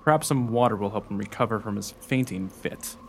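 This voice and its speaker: A man's voice: lowish voice, brisk, vocal fry, noisy background. A man playing a gallant, self-assured rescuer and suitor in a radio play, with formal, declamatory delivery. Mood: confident, commanding.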